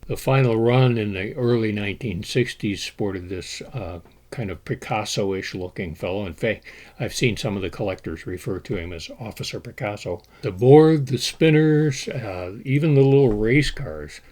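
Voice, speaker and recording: A man telling the history of an old board game in a measured storytelling way, with an occasional "uh" as he goes. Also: on the low side, darkish tone. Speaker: board game collector presenting. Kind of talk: scripted history narration.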